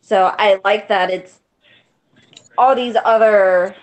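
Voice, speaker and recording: A woman's voice: medium pitch, steady tone, slow pace, highs cut off. A woman taking part in a casual book club chat over a group video call.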